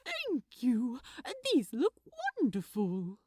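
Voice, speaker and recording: Storybook role: gentle, delighted, well-mannered man, performed by a woman, gushing politeness, very animated pitch, dry sound. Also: takes it slowly, no echo, exaggerated voice. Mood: delighted, grateful. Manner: bright, courteous, gushing with gratitude. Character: sweet, polite, delighted male gift-wrapper, gentle and proper. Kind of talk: storybook character dialogue in fan fiction reading.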